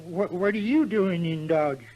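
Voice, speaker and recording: Stubborn old western general, high slow voice, stammering, earnest, vintage radio drama. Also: male voice. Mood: flustered. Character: stubborn, flustered, self-styled old army general. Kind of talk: 1950s western radio acting. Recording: dull vintage radio recording.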